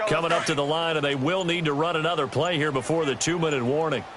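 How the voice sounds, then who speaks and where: A man's voice: a little high, fairly even intonation, fast, close to an everyday voice. An American man playing a TV play-by-play announcer, calling an American football game. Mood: brisk, engaged. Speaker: play-by-play sports commentator. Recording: loud stadium noise behind voice. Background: loud stadium game noise.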